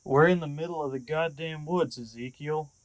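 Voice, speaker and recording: A man's voice: a little high, moderate pace, no echo, lightly acted, poor audio. Guy doing impatient sarcastic younger dude, reluctant apprentice in an audio drama, very dry close sound. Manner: sardonic, blunt. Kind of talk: audio fiction podcast acting.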